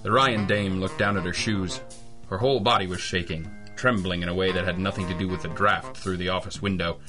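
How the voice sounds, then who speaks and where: Guy doing a tough wisecracking private eye, really deep voice, old radio noir, bit of music behind. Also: fairly even intonation, fairly quick, close to an everyday voice. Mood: tough, steady. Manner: tough, wry, gruffly reassuring. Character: hard-boiled, wisecracking male private eye. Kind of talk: old-time radio detective drama acting and narration.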